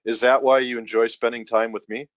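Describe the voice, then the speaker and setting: A man's voice: mid-range voice, little pitch movement, brisk, no echo, lo-fi sound. A man hosting a podcast in casual conversation, joking and self-deprecating as he rambles between questions. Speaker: podcast host.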